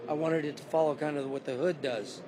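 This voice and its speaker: A car builder who is losing his voice, calmly giving a detailed walkaround of a custom car on a show floor. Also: fairly high pitch, brisk, a little hiss or hum. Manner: detailed, matter-of-fact.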